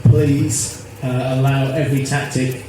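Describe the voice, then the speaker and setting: A man in his fifties speaks earnestly, circling back over his own phrases. His voice sits at a middling pitch. It was caught on a phone in a very echoey space with plenty of background noise. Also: little pitch movement, unhurried. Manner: earnest, rambling, repeats himself. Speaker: activist interviewee. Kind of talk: casual interview. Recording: echoey, noisy phone recording.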